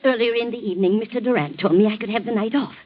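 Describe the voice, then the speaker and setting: An actress playing an anxious, loyal female servant in a 1940s radio drama, husky and hesitant, on a muffled old recording. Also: a little high, racing, natural voice. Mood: frightened, upset. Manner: hesitant, deferential.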